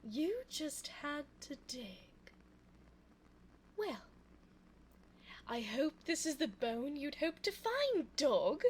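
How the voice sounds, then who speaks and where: A high, swooping female vampire, acted by a woman for an ASMR audio roleplay. She is sly, possessive and evil, speaking in a coy, theatrical manner with breathy asides. The close binaural recording carries faint background noise. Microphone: close binaural 3Dio microphone.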